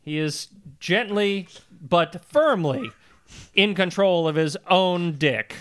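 A man's voice: high voice, lively intonation, slow, clean tone. A man co-hosting a podcast, giving casual comedic commentary in a wordy, mock-formal way. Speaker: podcast co-host.

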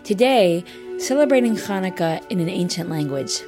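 Female podcast host, measured scripted narration, lowish voice, music bed behind. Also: animated. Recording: music playing under the voice.

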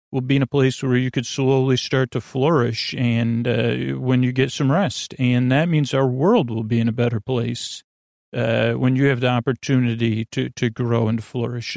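A man in his forties with a gravelly, creaky voice, hosting a sleep podcast in a meandering, halting monologue that trails off and circles back. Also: medium pitch, a little mellow, dry recording, clean studio sound. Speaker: podcast host. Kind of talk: rambling bedtime monologue to help listeners sleep.

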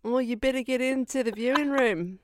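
A woman's voice: high-pitched, a little fast, dry recording, voice pushed up, lightly acted. A woman hamming up an Aussie bloke. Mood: jokey. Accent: exaggerated Australian. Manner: casual put-on drawl, calling people mate. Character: laid-back Australian bloke in space.

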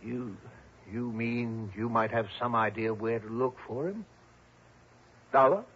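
A man's voice: mid-range voice, unhurried, fairly bright tone, husky. An actor playing a hesitant, deferential male insurance official who stammers apologetically in a 1960s radio drama. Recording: dull, slightly noisy old radio recording.

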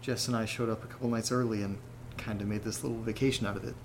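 Actor doing a gravelly young guy rambling into his podcast diary, audio drama, halting, faint noise behind him. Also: on the low side, flat delivery, lightly acted. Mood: wistful, wry. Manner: halting, rambling, self-deprecating. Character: rattled, rambling young man recording a personal podcast. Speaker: narrator character of a horror audio drama. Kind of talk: confessional audio diary monologue, conversational.

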